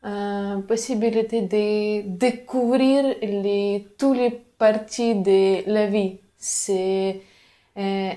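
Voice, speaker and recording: Slow, halting Ukrainian-accented woman. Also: mid-range voice, fairly even intonation, dark tone. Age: 30s. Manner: halting, searching for words in French. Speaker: interviewee. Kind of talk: testimonial interview.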